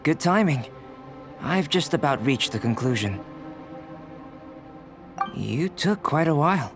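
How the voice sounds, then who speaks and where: A man voicing a calm, scholarly young alchemist in a video game, speaking in a measured, formal and analytical way. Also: a little high, over music. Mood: pleased, matter-of-fact.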